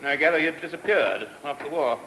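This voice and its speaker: Genial abbot in echoey room. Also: male voice, on the high side, lively intonation, fast talker, sharp tone. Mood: informative. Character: genial, authoritative father abbot. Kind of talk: radio play acting. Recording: roomy, noisy old radio recording.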